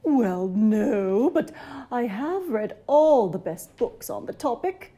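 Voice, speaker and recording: A woman voicing a polite, bookish male monster for a children's storybook, with a breathy voice and a formal, courteous manner. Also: sing-song, slow pace, mellow, heavily acted. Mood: courteous, earnest. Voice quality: low, breathy.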